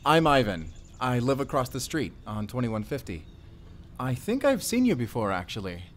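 A man's voice: medium pitch, big pitch swings, normal speed, slight background noise, natural voice. A man playing an awkward, friendly, bird-loving single dad who rambles apologetically in an audio roleplay. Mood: flustered. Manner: flustered, apologetic, chatty with nervous chuckles. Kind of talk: audio roleplay addressed to the listener.

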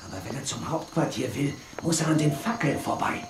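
An actor playing a cautious, shrewd Western sheriff in a children's audio drama. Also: male voice, on the high side, lively intonation, brisk, gravelly, roomy sound, background noise. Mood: hushed, wary.

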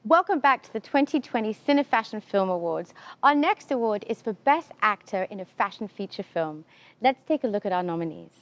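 A woman's voice: mid-range voice, animated, a little fast. A woman in her thirties presenting an awards show from a script, as host. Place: large empty theatre stage.

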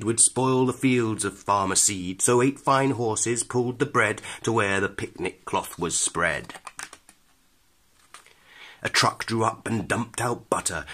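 A man with a fairly low but sharp, forceful voice narrates a children's storybook. His reading is expressive and rhythmic, with dramatic weight on the rhymes. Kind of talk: expressive scripted read-aloud of rhyming verse.

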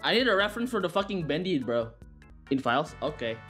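A young man with an Indonesian accent, streaming from his bedroom, chatting excitedly with a friend and his viewers and laughing often. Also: a little high, fast talker, soft background music. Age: young adult. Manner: excitable, laughs a lot, shouts out to chat. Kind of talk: casual livestream chat. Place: small bedroom at home. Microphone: studio mic on a stand with headphones.

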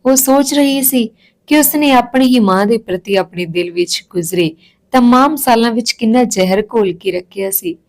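A woman's voice: on the high side, normal speed, a little mellow. A woman reading a scripted emotional story as a storyteller.

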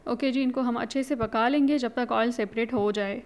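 A woman's voice: on the high side, fairly even intonation, moderate pace, darkish tone. A woman hosting her own cooking channel, casually talking viewers through a recipe step by step and mixing English words into her speech. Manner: chatty, instructive, mixes in English words.

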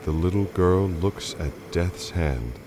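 A man's voice: sits low, animated, slow pace, noisy background. A man narrating a radio play in a dramatic, scripted style.

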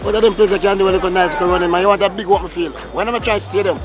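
A Jamaican taxi driver with a Patois accent, complaining emphatically in a street interview. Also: male voice, high-pitched, fairly even intonation, racing, over music. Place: busy street outdoors.

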